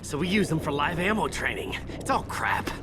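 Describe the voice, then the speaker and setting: Injured merc grunt, pained, grumbling, jumpy animated intonation, game cinematic, music in background. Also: male voice, fairly high pitch, moderate pace. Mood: grumbling, strained. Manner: sweary, stammering, whining complaints. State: in pain, bleeding. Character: wounded mercenary grunt, panicky, bitter and grumbling. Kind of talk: video game cinematic acting.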